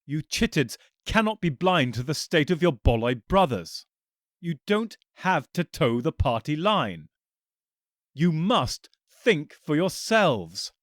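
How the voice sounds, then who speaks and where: A man's voice: a little high, sing-song, slow pace, no room sound, studio quality, voice pushed up. A man voicing an eccentric male Time Lord hero, earnest and emphatic, with a touch of impatience.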